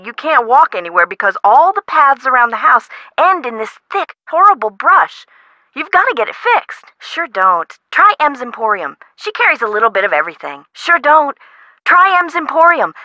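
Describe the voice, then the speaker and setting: An actress playing an excitable, worried woman homeowner in a video game, American and chatty in an emphatic way. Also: fairly high pitch, no echo. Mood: concerned. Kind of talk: scripted game dialogue.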